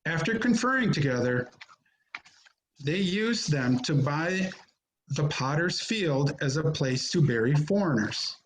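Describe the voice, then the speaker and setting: A man narrating a scripture drama as an amateur reader, solemn and measured, in short phrases, recorded over a video call. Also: a little high, lively intonation, a little hiss or hum, low-quality recording.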